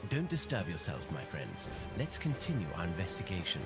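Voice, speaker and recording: Skeptical detective, deep-leaning voice, varied melody, measured and analytical, game cutscene. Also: male voice, huge pitch swings, loud music behind the voice, heavily acted. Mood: calm, rational. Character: composed, rational male detective, skeptical of superstition. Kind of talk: video game cutscene voice acting.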